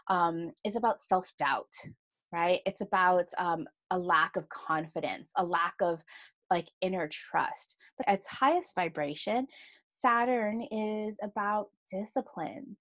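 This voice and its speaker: A woman's voice: mid-range voice, muffled recording. A woman in her thirties hosting a solo podcast, speaking earnestly and confessionally, often checking in with "right?". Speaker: podcast host and astrologer.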